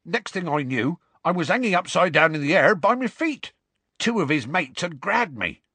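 Gruff, rustic big fellow. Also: male voice, on the high side, big pitch swings, normal speed, sharp tone, no room sound, pitched above the performer's own voice, full character voice. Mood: animated. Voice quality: gruff, growly. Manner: colloquial, rambling, dropped consonants. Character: big gruff rustic man, warm-hearted, indignant storyteller.

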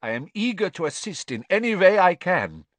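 Man voicing a groveling male prisoner, high and strained, oily, breathless, storybook reading. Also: big pitch swings, a bit bright, no echo, exaggerated voice. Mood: frightened pleading. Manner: pleading, hurried, breathless. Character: scared, unctuous middle-aged male prisoner.

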